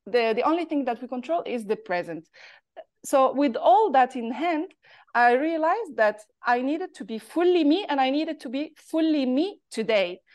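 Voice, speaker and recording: A woman from Morocco with a Moroccan accent, telling her life story as an interview guest in a thoughtful, halting way, her pitch rising and falling. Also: lively intonation, darkish tone. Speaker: guest, artist.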